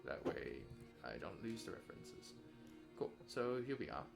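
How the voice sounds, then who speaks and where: A man learning software in a casual walkthrough, tentatively thinking aloud and checking his understanding. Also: low voice, big pitch swings, normal speed, creaky, over music.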